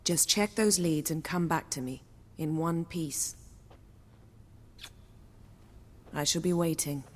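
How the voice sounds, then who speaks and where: A woman playing a poised, commanding sorceress in a video game, speaking in a cool, measured, formal manner with dry affection. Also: deep voice, in a large hall. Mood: concerned. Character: poised, commanding sorceress, dryly affectionate toward her lover. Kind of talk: dramatic video game acting.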